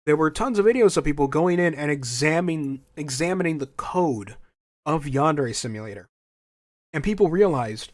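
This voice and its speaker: A man's voice: on the high side, no echo. A young man hosting a podcast, talking casually and opinionated, his voice slightly scratchy and stuffy from a cold, often restarting phrases. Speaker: podcast host. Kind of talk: casual solo podcast rant.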